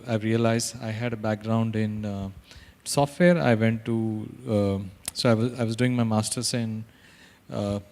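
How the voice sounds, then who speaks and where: A man's voice: mid-range voice, a little mellow. A man from India, a company founder, speaking on a conference panel with many hesitations and restarts. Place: conference hall. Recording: reverberant hall.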